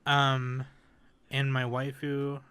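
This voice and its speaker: A man's voice: medium pitch, monotone, very slow, sharp tone, clean tone, no echo. A man chatting casually and a little hesitantly on a group podcast. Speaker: anime podcast participant.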